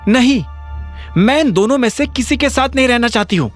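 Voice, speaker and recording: A man's voice: very high-pitched, expressive, brisk, soft background music, pitched above the performer's own voice. A man voicing a proud, defiant, upset young wife in an audio drama, speaking indignantly. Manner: indignant, mixes English into speech. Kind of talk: Hindi audio drama acting.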